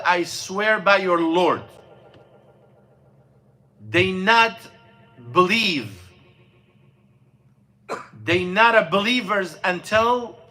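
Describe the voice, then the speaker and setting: A man, an imam, giving an informal mosque lesson on scripture, halting and searching for words, with non-native English grammar and frequent fillers. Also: high voice, pushed and bright. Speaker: imam teaching a class.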